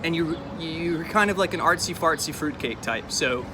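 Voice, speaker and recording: A man's voice: a little high, normal speed, background noise. An American man from rural North Carolina telling his personal story candidly and reflectively as an interview guest. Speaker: interview guest, musician and artist. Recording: loud music behind the voice. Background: music.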